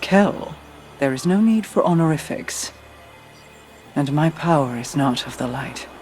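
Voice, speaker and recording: A woman's voice: low-pitched, expressive, unhurried, darkish tone, soft background music. A woman playing a regal, aloof queen in a video game, speaking in a stately, cool, commanding manner. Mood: coolly correcting. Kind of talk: dramatic game cutscene speech.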